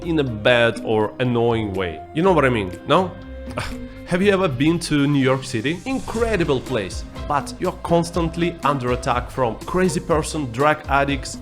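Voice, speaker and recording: A man from Russia with a Russian accent, speaking English as a non-native speaker, delivers scripted vlog commentary in a wry, playfully sarcastic way. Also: a little high. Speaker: YouTuber.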